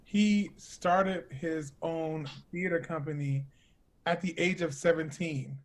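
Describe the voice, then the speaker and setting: A man's voice: fairly high pitch, steady tone, takes it slowly. A man hosting a casual conversation, informal and emphatic, teasing as he addresses the listeners. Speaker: host.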